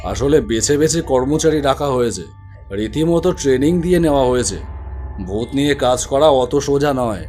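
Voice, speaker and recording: A man's voice: medium pitch, expressive, normal speed, mellow, faint music behind, close to an everyday voice. A man doing a bossy old uncle. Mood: explaining. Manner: scolding, explaining. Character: older uncle, ghost keeper, bossy and knowing.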